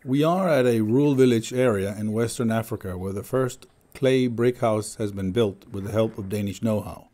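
A man reading a documentary voice-over in a calm, measured way. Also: on the low side. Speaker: voice-over interpreter.

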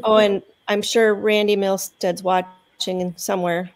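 A middle-aged woman hosting a livestream over a video call, brisk and joking as she prompts her guest. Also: medium pitch, flat delivery. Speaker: host. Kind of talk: casual chat on a livestream.

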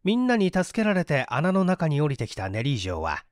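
A man reading a mystery story as a scripted dramatic narration, with deliberate theatrical storytelling. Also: on the high side, huge pitch swings, no room sound, studio quality. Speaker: audiobook narrator, stage performer.

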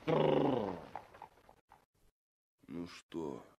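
Casting: gruff, heartsick sailor driving a cart, low voice, husky, drawling grumbles, old radio play. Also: male voice, sing-song, dark tone, muffled recording, heavily acted. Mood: sorrowful, wistful. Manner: grumbling, slow, drawn-out calls to his horse. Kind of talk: 1960s Soviet radio drama acting.

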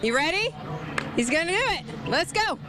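Animated high-voiced American woman hosting live. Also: moderate pace, over a noisy crowd. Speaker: morning show host. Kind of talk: live unscripted TV chat. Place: outdoors at a football stadium. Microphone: handheld TV microphone. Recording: live outdoor broadcast with loud music.

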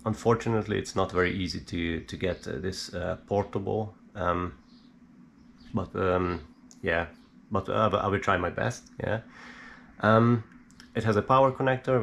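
A man speaks in a low register with a creaky, gravelly edge. He explains equipment as a hobbyist presenter, unscripted and halting, pausing often to think. There is audible noise behind his voice. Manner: hesitant, halting, thinking aloud with many pauses. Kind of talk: unscripted hands-on technical explanation. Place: indoor room at a cluttered worktable.

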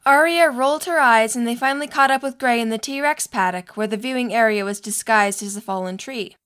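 A woman's voice: a little high, fairly quick, fairly bright tone. A woman reading scripted narration for a story.

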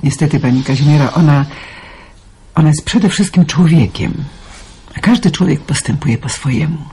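Wise elderly neighbour woman for a radio play, soft, husky and soothing; actor plays her. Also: mid-range voice, expressive, normal speed. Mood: consoling. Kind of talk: amateur radio play acting.

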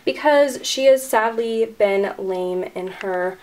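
A woman's voice: on the high side, slow pace, darkish tone, poor audio. A young woman giving a casual, chatty tour of her model horse barn, with lots of ums and likes as she films. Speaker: toy horse channel creator.

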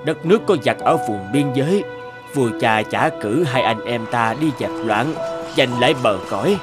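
A man voicing a solemn, expressive warrior king. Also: mid-range voice, moderate pace, over loud music, lightly acted. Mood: wistful, regretful. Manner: solemn, reflective. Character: proud, regretful, homesick warrior king in middle age. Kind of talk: cartoon fairy-tale dubbing.

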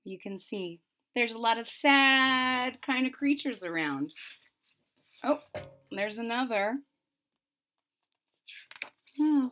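A woman's voice: fairly high pitch, animated, takes it slowly, long pauses, sharp tone. A woman in her forties reading a children's picture book aloud expressively, stressing key words theatrically and adding casual asides. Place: small room at home.

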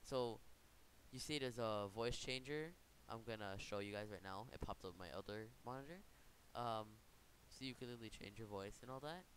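A man's voice: fairly low pitch, moderate pace, fairly bright tone, low-quality recording. Casual man giving a tutorial over hiss. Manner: casual, rambling, self-correcting. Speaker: tutorial host. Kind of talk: informal screen-recorded software tutorial. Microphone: computer microphone. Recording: noticeable background hiss.

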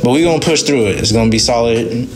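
A man's voice: medium pitch, fast. A man chatting casually and upbeat, with fillers as he thinks. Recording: music playing underneath.

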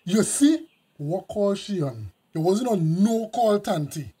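An actor playing a devoted, hot-tempered young boyfriend in a radio drama, speaking colloquial Creole with a Caribbean accent. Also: male voice, sits high, expressive, slow, warm tone, slightly rough, exaggerated voice. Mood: threatening. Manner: colloquial Creole, confrontational when crossed.

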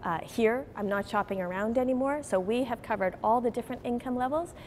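A woman's voice: fairly high pitch, fairly quick, a little mellow, slight background noise. A woman in her forties, a grocery chain executive, speaking earnestly and animatedly in a TV news interview inside a store.

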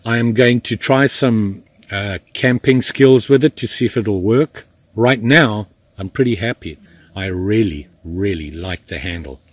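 An older man in his sixties with a husky, fairly low voice, casually talking through a knife test while he kneels in the woods. He rambles easily, restarting sentences and filling pauses, recorded by a camera a few feet away. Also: dry recording. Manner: relaxed, chatty, with frequent fillers and restarts. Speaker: outdoor knife reviewer. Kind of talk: casual hands-on review. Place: outdoors in woods, kneeling in the undergrowth.

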